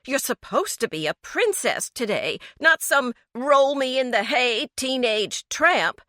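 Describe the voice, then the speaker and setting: A woman voicing a frantic, scolding middle-aged mother, high and shrill. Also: very animated, slow, pushed and bright, no room sound, studio quality, full character voice. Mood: outraged.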